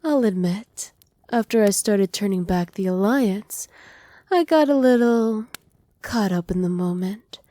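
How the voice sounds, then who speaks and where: A woman voicing a doting, possessive evil witch in an intimate ASMR roleplay, speaking softly and teasingly, often slipping into breathy whispers. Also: mid-range voice, expressive, a little slow, no echo, lightly acted. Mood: smug, satisfied. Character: doting, possessive evil witch pampering her captive pet. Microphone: close microphone, dry.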